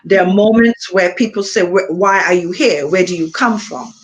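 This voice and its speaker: Reflective Montserratian woman, many pauses. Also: lowish voice. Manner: thoughtful, pauses with frequent ums. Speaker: author, interview guest. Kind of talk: reflective conversation.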